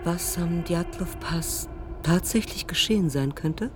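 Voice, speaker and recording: A woman playing a cool, controlled and quietly menacing police interrogator in a radio play, probing in measured words. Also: fairly low pitch, a little hiss or hum, natural voice. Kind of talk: dramatic radio play dialogue.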